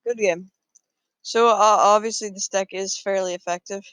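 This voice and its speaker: A man's voice: sits high, fairly even intonation, normal speed, a bit bright, clear, resonant tone, no room sound. A man casually talking through his moves in a card game, thinking aloud with frequent fillers. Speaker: gameplay commentator.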